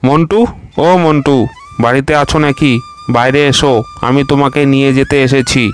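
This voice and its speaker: Cartoon crocodile, male, lazy, meek, mid-pitched and flat; man voicing. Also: normal speed, soft background music, natural voice. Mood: easygoing. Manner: easygoing and meek. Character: lazy, plump, soft-hearted male crocodile. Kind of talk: cartoon voice acting.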